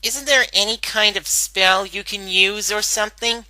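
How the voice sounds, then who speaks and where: A man voicing a brash but anxious young female cartoon pony, halting and pleading. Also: sits high, bright, forceful tone, no echo, voice pushed up, poor audio.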